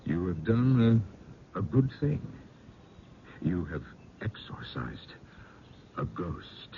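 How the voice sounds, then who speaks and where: An actor playing a solemn gentleman in a radio drama, speaking haltingly and with weight. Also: deep voice, sing-song, exaggerated voice. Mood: solemn, moved. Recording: muffled, noisy old radio broadcast.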